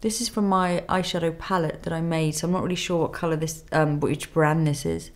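A woman in her thirties with a cold and a nasal voice, calmly talking through a makeup tutorial step by step in a studio. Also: low voice, fairly quick. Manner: calm step-by-step instruction while applying makeup. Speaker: makeup artist. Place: indoor studio with plain grey backdrop.

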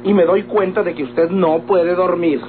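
An actor playing a meddling, timid and devoted Mexican manservant, prim and whiny, in old radionovela style. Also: male voice, high-pitched, fairly even intonation, lightly acted. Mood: pleading, indignant. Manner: whiny, effusive, prim, drawn-out pleading. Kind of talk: golden-age Mexican radionovela comic acting. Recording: old tinny radio recording with music.

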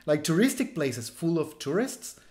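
A man's voice: a little high, normal speed. A man in his thirties from Chile, speaking English with a Chilean accent as a second language, telling stories in a casual interview over a headset on a video call, full of fillers and restarts. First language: Spanish. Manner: rambling, frequent "like" fillers and restarts, animated gestures. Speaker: street photographer guest. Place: small room at home, indoors.

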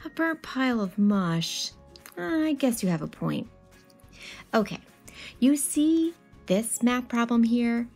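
A woman in her thirties giving an expressive picture-book read-aloud, animatedly addressing children with questions and asides. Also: fairly high pitch, slow pace, faint music behind.

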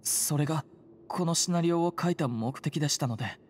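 A woman's voice: sits low, brisk, darkish tone, slightly rough. A woman voicing an earnest, timid phantom hunter in a Japanese game, hesitant, polite and formally apologetic. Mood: hesitant, flustered. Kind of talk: Japanese anime game voice acting. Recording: music behind the voice. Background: game music.

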